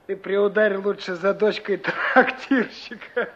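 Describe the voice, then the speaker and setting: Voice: mid-range voice, slow, fairly bright tone. A performer playing a gossipy, needling workmate from a building crew in a Soviet radio play. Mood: teasing, knowing. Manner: teasing, colloquial. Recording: dull 1960s radio play recording.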